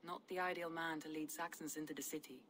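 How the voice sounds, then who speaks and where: An actress playing a proud, composed Viking clan leader in a video game, speaking in an assured, formal way. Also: female voice, low voice, fairly even intonation, fast talker, a bit bright, a little hiss or hum. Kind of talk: video game cutscene dialogue.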